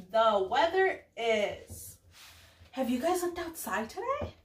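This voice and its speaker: A young woman with a slightly high voice teaches preschoolers. She goes very slowly, enunciating each word and putting simple questions to the children. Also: fairly bright tone. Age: young adult. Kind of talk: children's lesson, addressing kids, English with some Spanish words.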